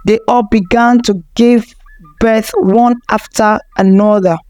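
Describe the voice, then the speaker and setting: A woman tells a folktale as a scripted narration, taking it very slowly. Her voice sits fairly high. The recording is very dry and close, with no room sound. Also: fairly even intonation, a little mellow, dry recording. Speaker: storyteller.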